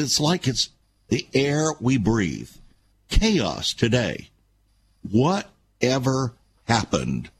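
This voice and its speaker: A man's voice: mid-range voice, slight background noise. A man hosting a talk show, delivering a rhetorical, emphatic monologue full of repeated questions. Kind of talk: opinion show monologue and interview.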